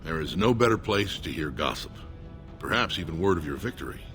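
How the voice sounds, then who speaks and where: A loyal dwarf soldier from a fantasy video game, speaking very low. He is formal, earnest and measured, with faint music underneath. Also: lively intonation, a bit bright. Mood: businesslike. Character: loyal dwarven soldier, solemn supporter of his lord. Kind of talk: scripted video game dialogue.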